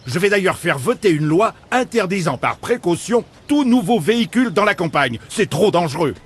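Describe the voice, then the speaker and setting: A man's voice: high voice, sing-song, moderate pace, breathy edge, exaggerated voice. A man voicing an indignant, self-important village mayor in a cartoon, speaking in a formal, pompous manner. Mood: stern, indignant. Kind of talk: cartoon voice acting.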